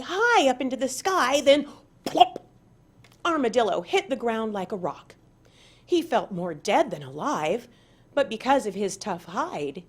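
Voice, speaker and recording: A woman reading aloud to children as a storyteller, with deliberate, dramatic emphasis. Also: fairly high pitch. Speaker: parent and school board member reading aloud.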